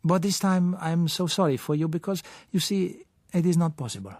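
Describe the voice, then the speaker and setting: Man voicing a suave, higher-pitched schemer. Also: expressive, no echo. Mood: gently persuasive. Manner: measured, courteous, hesitant phrasing with soft pauses. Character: suave, sly South American businessman, polite and gently insistent. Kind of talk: audiobook character voice.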